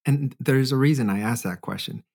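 A male podcast host with an ordinary mid-range voice asks his guest questions. He listens eagerly and encourages her in relaxed conversation. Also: mid-range voice, brisk, vocal fry, breathy edge. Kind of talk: casual interview.

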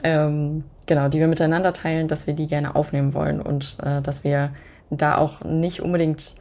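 Woman, 25, low voice, warm mellow tone, thoughtful rambling answers. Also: little pitch movement, normal speed. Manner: reflective, long winding sentences. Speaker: podcast guest. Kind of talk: casual interview talk.

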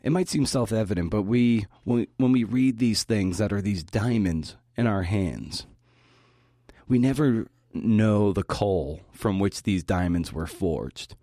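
Male podcast host, low pitch, dry close sound, informal talk delivery with casual swearing. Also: unhurried, warm tone, no room sound. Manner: informal, mildly profane. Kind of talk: prepared talk to fellow writers.

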